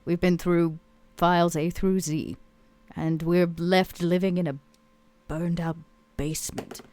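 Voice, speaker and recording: A woman playing a weary, imperious, hard-bitten boss in a tabletop game, speaking in a measured, formal way. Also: on the low side, level tone, mellow, lightly acted. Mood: weary defiance. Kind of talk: improvised tabletop roleplay.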